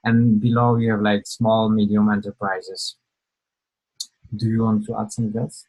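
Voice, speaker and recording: A man's voice: on the low side, level tone, moderate pace, a little mellow, slightly rough, rough recording. A young man from Poland, giving an informal webinar presentation over a video call, hesitant with many fillers and restarts.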